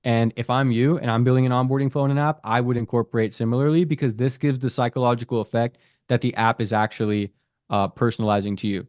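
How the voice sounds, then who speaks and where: A man in a relaxed voice-over talks through an app step by step, reading each question aloud before giving his take. His voice sits at an ordinary middle pitch. The sound is close and dry, with no room around it. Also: quick pace, dry recording. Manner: conversational, reads app prompts aloud and comments. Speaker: app developer reviewing an app. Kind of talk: casual screen-recorded app walkthrough.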